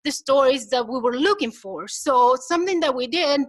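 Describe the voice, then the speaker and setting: A woman's voice: high voice, normal speed. A woman with a Minnesotan accent giving a conference presentation, chatty and engaging, asking the audience rhetorical questions. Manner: chatty, acts out quotes in lively voices.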